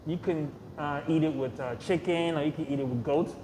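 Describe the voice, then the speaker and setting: A man's voice: fairly high pitch, a little fast. Hesitant man in an echoey room. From Nigeria. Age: 30s. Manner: soft-spoken, hesitant, many fillers, short yes answers. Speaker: podcast guest. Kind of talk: casual interview answers. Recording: echoey room with long reverb, clear ambient noise.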